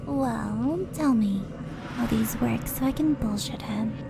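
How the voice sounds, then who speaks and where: A woman's voice: a little high, slow pace, gravelly, noisy background. A woman playing a sadistic, flirtatious demon princess in an ASMR roleplay audio drama, teasing and mock-sweet in a theatrical way. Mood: scheming, sardonic. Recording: music under the voice.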